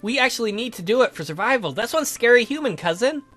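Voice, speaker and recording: A man's voice: extremely high pitch, animated, quick pace, bright, forceful tone, soft background music, pitched above the performer's own voice, heavily acted. A man voicing an obliging female vampire cousin in a visual novel, with a casual, chatty manner. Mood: amazed chatty.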